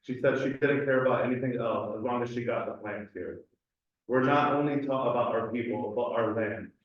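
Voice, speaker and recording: Earnest man in an echoey hall. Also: mid-range voice. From Cleveland, USA. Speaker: community speaker. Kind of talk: reading a personal essay aloud. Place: community event hall. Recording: echoey hall, distant and dull mic.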